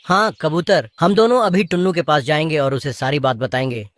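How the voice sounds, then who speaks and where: A man's voice: fairly high pitch, fairly quick, no echo, lightly acted. A man voicing a concerned, loyal male parrot in a cartoon, speaking earnestly. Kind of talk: animated story dialogue.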